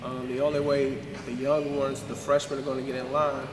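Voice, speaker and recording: A man who coaches high school basketball answers questions in a plain, repetitive way. He stands some distance from the mic in a room with long reverb. A clear background hiss runs under his voice. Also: level tone, fairly quick. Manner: plainspoken, repeats himself for emphasis. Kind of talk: casual interview. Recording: echoey room, far from the mic, noisy.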